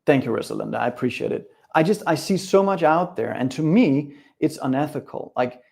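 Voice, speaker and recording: A man's voice: a little high, expressive, fairly quick, gravelly, a little mellow. A middle-aged man from Denmark with a Danish accent, giving an upbeat, direct live webinar talk that addresses his viewers and their chat. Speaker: coach.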